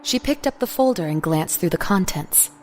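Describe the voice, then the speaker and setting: A woman's voice: lowish voice, animated, moderate pace, darkish tone, slight background noise. A woman reading scripted third-person story narration for an audio drama. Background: music.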